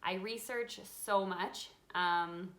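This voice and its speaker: A woman in her thirties chats easily through a live question-and-answer session at home. Her voice is bright and clear, sitting at a middle pitch for a woman. She reads viewer questions aloud and answers in a loose, friendly way, dotted with ums. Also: drawn-out words. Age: 30s. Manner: chatty and relaxed, reading out viewer questions with frequent ums. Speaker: craft channel host. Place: home craft room with a desk, lit by a window.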